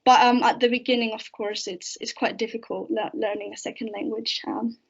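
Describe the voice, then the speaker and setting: Teen girl from spain, native spanish speaker, hesitant, highish voice, muffled laptop mic. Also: fairly even intonation, fairly quick, creaky, slightly rough. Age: teenager. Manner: hesitant, many fillers and restarts. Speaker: student. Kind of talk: casual video-call discussion. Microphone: laptop microphone on a video call. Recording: dull lo-fi laptop audio.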